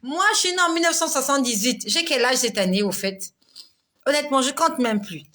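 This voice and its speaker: Woman, 40s, chatty faith talk, laughs often, clear bright tone. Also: sits high, fairly quick. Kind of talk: casual live devotional talk reading viewer comments.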